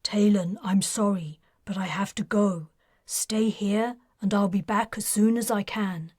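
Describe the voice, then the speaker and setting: A woman's voice: mid-range voice, fairly even intonation, measured pace, a little mellow, breathy edge, dry recording, clean studio sound. A woman voicing an earnest, guilt-ridden male army major who talks urgently and pleads to be understood.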